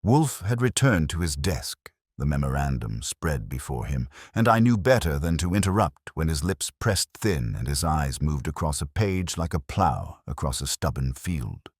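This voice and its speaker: A man's voice: a bass voice, slightly rough, no echo, studio quality. A man narrating a hardboiled detective story as a scripted audiobook, in a wry, dramatic manner.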